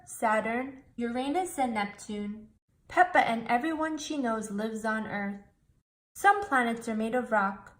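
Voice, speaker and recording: A woman reading a children's storybook aloud, slowly, clearly and expressively. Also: a little high, fairly bright tone. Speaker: storybook reader.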